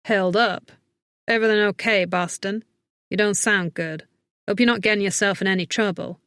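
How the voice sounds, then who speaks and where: A woman voicing a gruff, cocky, easygoing man with a Southern US drawl in an audiobook. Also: medium pitch, steady tone, normal speed, a bit bright, no room sound, lightly acted. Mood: concerned. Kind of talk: audiobook character acting.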